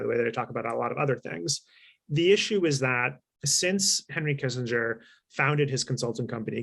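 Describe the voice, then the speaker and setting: A man giving measured, articulate, opinionated answers as a guest author and consultant in an online talk. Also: mid-range voice, creaky, fairly bright tone. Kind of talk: explanatory interview answers at a virtual talk.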